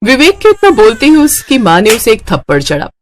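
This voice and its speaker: A woman's voice: on the high side, huge pitch swings, a little fast, a little hiss or hum. A woman storyteller reading scripted narration for a moral tale.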